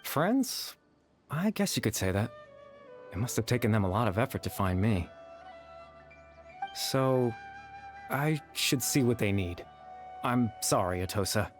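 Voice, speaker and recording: An actor playing a calm, gentle, melancholy young nobleman who speaks softly and in a measured, kind way. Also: lowish voice, faint music behind. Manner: soft-spoken, measured, kind.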